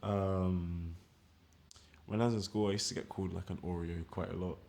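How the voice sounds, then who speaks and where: A young man speaking in a halting, casual way in an interview, often pausing with "like" and "um". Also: sits low, fairly even intonation, creaky, a little mellow, slight background noise. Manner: halting, casual, filler-heavy. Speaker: documentary interviewee. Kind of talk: candid interview.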